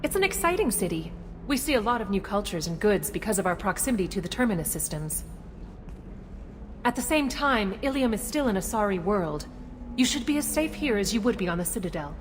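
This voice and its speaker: A woman voicing a courteous female alien city guide in a video game, speaking with polite, formal and measured official courtesy. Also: mid-range voice, sing-song, natural voice. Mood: courteous, informative. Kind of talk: scripted video game dialogue. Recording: long reverberant hall, some background noise.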